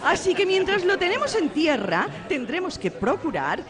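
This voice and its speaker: A woman's voice: extremely high pitch, big pitch swings, normal speed, over music. Radio drama: sociable hostess and mother, Castilian accent, wide-ranging intonation. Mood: bright, playful. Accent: Castilian Spanish. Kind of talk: Spanish radio drama acting.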